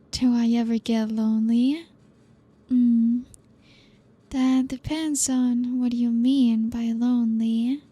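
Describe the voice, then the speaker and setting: A woman voicing an elegant, teasing female vampire who welcomes a guest, in a soft ASMR roleplay delivery with a possessive edge. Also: fairly high pitch.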